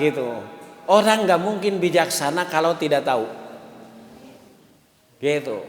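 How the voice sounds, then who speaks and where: A man with a Sundanese accent giving a lively, joking mosque lesson, chanting Arabic verses slowly between his explanations. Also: high voice. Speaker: ustadz teaching a mosque study class. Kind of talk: sermon. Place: large mosque hall. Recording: echoey mosque hall.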